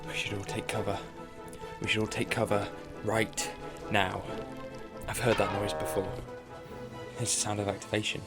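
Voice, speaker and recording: A man voicing an eccentric time-traveling sleuth, quick-witted and theatrical. He swings from excitable outbursts to cool deductions. A music score plays under his lines. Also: on the low side, lightly acted. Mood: urgent. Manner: theatrical, excitable, mock-exasperated. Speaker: the Doctor. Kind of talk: fan audio drama acting.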